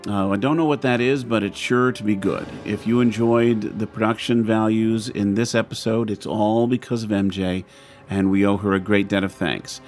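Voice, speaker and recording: Man, low voice, audio drama host, chatty credits delivery, faint music behind. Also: fairly even intonation, moderate pace, a little mellow. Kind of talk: podcast outro with credits, lightly scripted.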